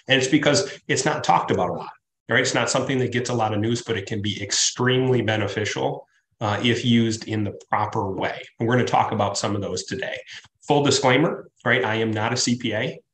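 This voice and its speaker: A man's voice: lowish voice, a little fast, fairly bright tone, a little hiss or hum. A man with a cold-stuffed voice, a financial planner giving a conversational webinar full of "right?" and "uh". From Midwest US. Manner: conversational, frequent "right?" and "uh" fillers. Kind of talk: webinar presentation. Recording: roomy office echo.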